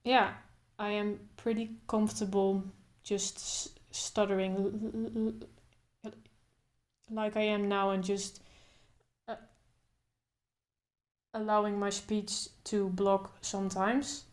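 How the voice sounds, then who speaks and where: A young woman who speaks openly with a stutter, repeating first sounds and pausing in blocks, talking thoughtfully to camera in short phrases. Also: medium pitch, level tone. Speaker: vlogger. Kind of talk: casual talk to camera.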